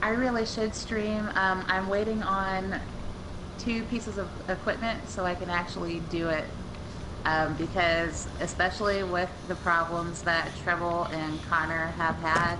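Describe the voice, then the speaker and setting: Chatty low-voiced woman on voice chat. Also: flat delivery, measured pace, background noise. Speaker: gaming guest. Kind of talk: casual gaming chat.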